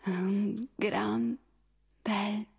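A woman performing a poetic theatre monologue as a dead woman philosopher calmly recounting her own burial, in a halting, husky whisper. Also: mid-range voice, heavily acted. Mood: hushed, solemn. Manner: slow, halting, whispered delivery.

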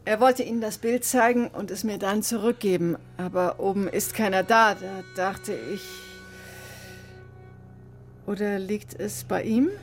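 An actress playing a bitter, sharp-tongued woman doctor in a radio drama, with a sardonic, controlled, defensive manner. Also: mid-range voice, fairly even intonation, unhurried, soft background music, lightly acted. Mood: wary, polite. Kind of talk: radio drama acting, confessional narration and dialogue.

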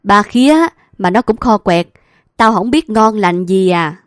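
A woman's voice: mid-range voice, lively intonation, measured pace, no echo, close to an everyday voice. Woman doing a jovial older Southern landowner, blunt and teasing, dry close sound. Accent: Southern Vietnamese. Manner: joking, blunt rustic talk.

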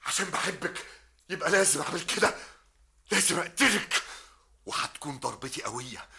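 A man's voice: fairly high pitch, lively intonation, brisk, gravelly, bright, forceful tone, heavily acted. An actor with an Egyptian accent playing a lovesick young man in anguish, breaking between cries and whispers.

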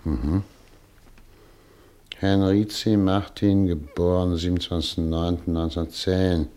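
An actor playing a calm, measured doctor in a radio play. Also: male voice, low voice.

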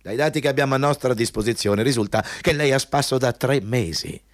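Male actor as bullying company boss, radio drama, pompous, insistent, highly animated intonation, dry sound. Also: a little fast, dry recording, close to an everyday voice. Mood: menacing, needling. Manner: pompous, insistent, repeating words for emphasis. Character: arrogant, bullying male company boss interviewing a job applicant. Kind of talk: Italian radio play acting.

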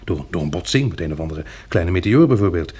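An actor playing a calm, practical survivor in a radio drama, reasoning in a measured way and soothing like a tender protector. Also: male voice, sits low, husky. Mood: grave, reasoning.

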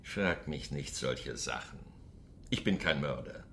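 A man's voice: very deep voice, animated, takes it slowly, creaky, fairly bright tone, full character voice. An actor in a German radio drama playing a stern, contemptuous Soviet secret police chairman with a pompous manner. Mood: cold, commanding.

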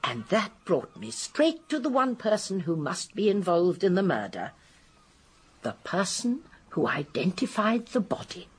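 A woman's voice: lowish voice, sing-song, measured pace, husky, heavily acted. An actress playing a shrewd, genteel elderly English spinster detective in a radio drama, precise and emphatic as she explains. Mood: firm, explanatory. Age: older. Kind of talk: British radio drama acting.

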